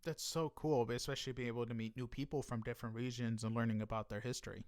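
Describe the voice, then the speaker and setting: A man's voice: medium pitch, fairly even intonation, fast talker, a little mellow, clean tone, no room sound, clean studio sound. A man hosting a casual interview, agreeable and a little hesitant in how he talks. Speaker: interview host.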